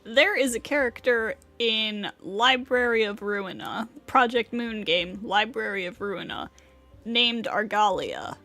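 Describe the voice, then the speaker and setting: A woman livestreaming a game, with a slightly high voice and a slow, loose pace. She is playful and slangy, dropping exclamations into casual commentary. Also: bright, forceful tone, faint music behind.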